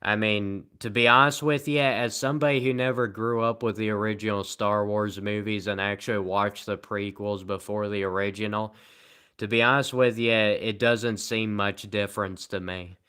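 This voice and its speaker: Man, tired, live streamer, rambling, sarcastic, low voice, clear and crisp. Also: steady tone, normal speed, pushed and bright. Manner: rambling and sarcastic, with self-mocking jokes. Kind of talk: casual live stream chat, answering viewer comments.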